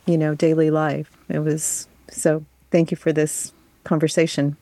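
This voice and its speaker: A female co-host of a radio arts show, introducing a guest in an earnest, measured way. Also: sits low, level tone, mellow, no echo.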